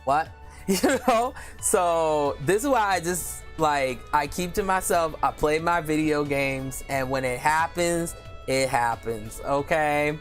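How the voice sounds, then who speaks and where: Deep-voiced woman streamer, casual and candid, filler-heavy chat over faint music. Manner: candid and chatty, many "you know" fillers, quick laughs. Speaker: live streamer. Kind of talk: casual live-stream chat, reading viewer comments aloud.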